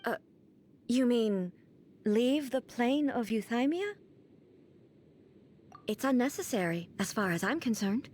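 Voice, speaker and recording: A woman playing a dignified, unhurried immortal ruler in a video game, speaking in a formal, measured and composed way. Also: fairly high pitch, lively intonation, darkish tone, slight background noise. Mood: composed, deliberate. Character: dignified, unhurried, aloof female immortal ruler, curious about mortals.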